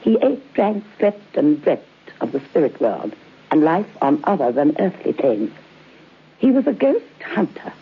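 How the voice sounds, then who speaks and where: Creaky, imperious old lady. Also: sits low, very animated, heavily acted. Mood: grave, mysterious. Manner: stately, deliberate, imperious. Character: old aristocratic lady of a haunted house, grave, commanding. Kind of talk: old-time radio horror drama acting. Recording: muffled, band-limited old radio recording.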